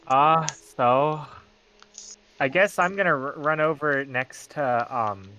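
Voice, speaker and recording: A man's voice: fairly high pitch, slow, bright, forceful tone, rough recording. A man playing a tabletop game, chatting casually and hesitantly, often repeating himself.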